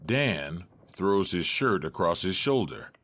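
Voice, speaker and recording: Slow, deep-voiced male narrator. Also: very animated, a bit bright. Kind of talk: scripted stage-direction narration.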